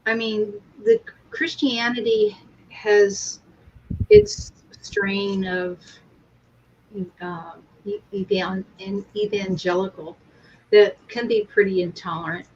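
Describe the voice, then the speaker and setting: A woman's voice: mid-range voice, slow, darkish tone. A woman talking casually in a discussion, on a muffled lo-fi recording. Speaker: co-host. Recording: muffled lo-fi audio with clear noise.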